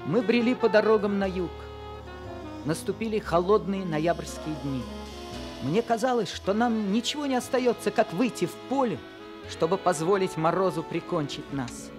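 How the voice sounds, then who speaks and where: A man's voice: high-pitched, lively intonation, normal speed. A young man narrating earnestly in an old radio drama. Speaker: narrator and teenage hero. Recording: old radio play with music.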